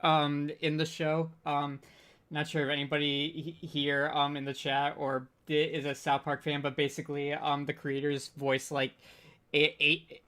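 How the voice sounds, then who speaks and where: A man chats casually as a livestream co-host. His pitch stays fairly flat and narrow, and he speaks haltingly, stammering and searching for his words. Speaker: co-host of a panel discussion.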